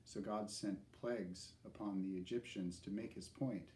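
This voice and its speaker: A man's voice: on the low side, fairly even intonation, normal speed, mellow. Man, earnest storytelling reading, church echo, faint background hiss. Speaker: family reader in a church service. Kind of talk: liturgical reading aloud, speaking in the first person as Moses.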